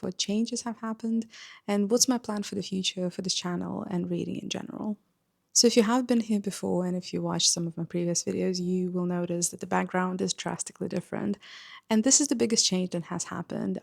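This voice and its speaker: A woman's voice: mid-range voice, vocal fry, darkish tone, no echo, clean studio sound. A woman in her thirties whose first language is Russian, chatting earnestly to camera in a home room about her book collection. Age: 30s. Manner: chatty and earnest, with some filler pauses. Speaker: book vlogger. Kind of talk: casual vlog talk to camera. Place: small home room with bookshelves, indoors.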